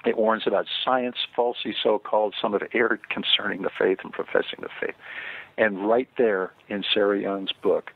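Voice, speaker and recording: An older man, an author and guest on a radio interview, speaking earnestly and measuredly with frequent pauses and fillers. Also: fairly low pitch, fairly even intonation, creaky, breathy edge, no room sound. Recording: tinny phone line, dry.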